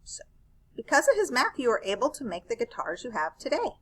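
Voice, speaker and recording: A woman reading a children's picture book aloud in an animated style. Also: on the high side, fairly quick, breathy edge. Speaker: read-aloud reader.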